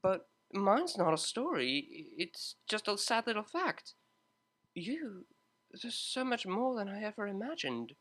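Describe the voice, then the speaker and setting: A man's voice: high voice, big pitch swings, measured pace, fairly bright tone, pitched above the performer's own voice, full character voice. A man reading the lines of a refined young female cellist pony, formal and politely reserved. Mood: earnest.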